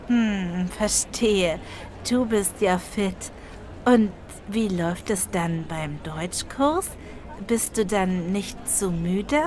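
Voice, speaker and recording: A woman's voice: mid-range voice, slow pace, a little mellow, a little hiss or hum, close to an everyday voice. A woman playing a hard-working, blunt fellow student in a scripted language-lesson dialogue, matter-of-fact and questioning.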